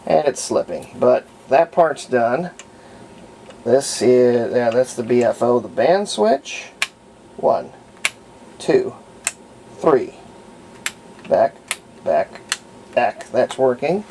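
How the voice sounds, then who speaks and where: Male hobbyist demonstrator, average pitch, notable vocal fry, unhurried homespun delivery, thinking aloud on the job. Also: darkish tone. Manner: folksy, unhurried, thinking aloud while working. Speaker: radio repair hobbyist demonstrating. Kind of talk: casual hands-on tutorial narration. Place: indoors at home, hands-on at workbench. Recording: faint background noise, home workshop.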